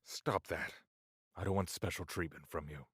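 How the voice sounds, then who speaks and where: A man's voice: low-pitched, fairly even intonation, normal speed, fairly bright tone. A man playing an ancient, scornful spirit trapped in a mortal body, with a menacing, theatrical, tempting manner in an audio drama. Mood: commanding.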